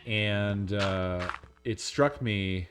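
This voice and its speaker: Male streamer, deep and monotone, speaks slowly, casual chat over light background music. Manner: monotone, unhurried talk. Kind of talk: casual chat while playing a game.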